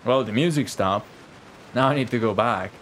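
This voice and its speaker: A man's voice: mid-range voice, moderate pace, a little hiss or hum. Casual, slangy male game streamer. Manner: rambling, slangy, profane. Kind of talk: casual live gameplay commentary. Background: faint game music.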